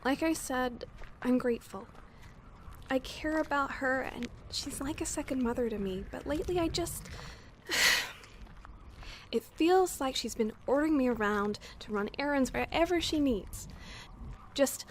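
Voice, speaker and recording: A woman's voice: high voice, a little slow, a little hiss or hum. A woman playing a frustrated young camp assistant in an audio drama, with a sardonic, sighing, conversational manner. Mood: venting. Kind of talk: naturalistic audio drama acting.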